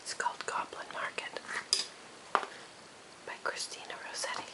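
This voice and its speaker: A woman whispering a poem for ASMR in a breathy, unvoiced voice, reciting gently and deliberately. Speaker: ASMR host. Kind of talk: ASMR whispered poetry reading.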